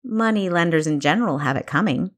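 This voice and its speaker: A woman's voice: lowish voice, lively intonation, fairly quick, close to an everyday voice. Woman playing eager, cheeky young female spy. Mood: challenging. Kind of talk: audiobook character dialogue.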